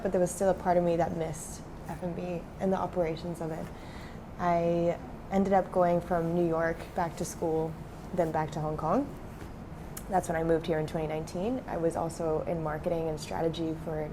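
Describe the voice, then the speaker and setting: Young woman grew up in switzerland, chatting loose with lots of ums, lowish voice, noisy hiss behind. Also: moderate pace. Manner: relaxed, many fillers like um and uh. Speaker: startup founder, guest. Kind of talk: casual interview talk.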